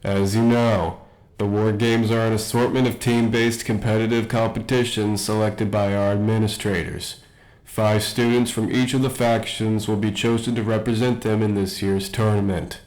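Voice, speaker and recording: Guy acting as a stuffy school principal, lowish voice, reading out official announcements all measured and formal. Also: little pitch movement, darkish tone, natural voice, low-quality recording. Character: male academy principal making formal announcements over the PA. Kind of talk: scripted audio roleplay announcement.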